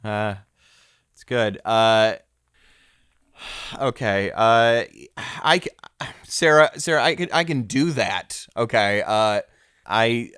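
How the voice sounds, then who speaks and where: A man's voice: lowish voice, expressive, takes it slowly, long pauses, a bit bright, clear, resonant tone, no echo, studio quality. A man hosting a mock-serious comedy interview, hesitant and stammering, sounding awkwardly earnest. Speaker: podcast host.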